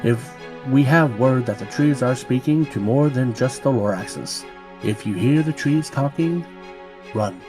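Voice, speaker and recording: A man doing a hushed American radio DJ. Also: medium pitch, unhurried, over music, pitched below the performer's own voice, natural voice.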